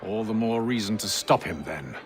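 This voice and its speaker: An actor playing a composed, principled gentleman leader in a video game, speaking formally with measured, deliberate phrasing. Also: fairly low pitch, expressive, soft background music, lightly acted. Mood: measured, resolute. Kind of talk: video game cutscene acting.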